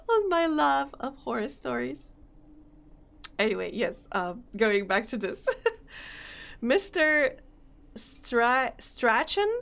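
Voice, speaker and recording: A young woman streaming a game, chatting casually, reading on-screen text aloud and laughing often, her voice slightly hoarse. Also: a little high, a bit bright. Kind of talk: casual let's-play commentary.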